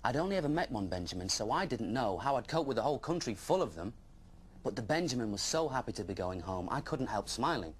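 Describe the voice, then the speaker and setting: A man's voice: medium pitch, very animated, fast talker, a little hiss or hum, close to an everyday voice. A man voicing an eager, wide-eyed young dragon who tells his adventure with childlike wonder in a children's storybook. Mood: excited.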